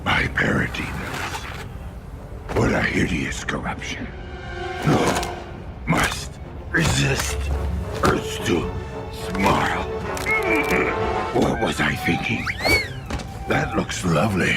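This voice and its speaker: An actor playing a villainous alien tyrant in a video game, gravelly and grandiose, with a silly childlike streak. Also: male voice, sits low, big pitch swings, takes it slowly, pauses often, loud music behind the voice, exaggerated voice. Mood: horrified, straining. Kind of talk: video game cutscene acting.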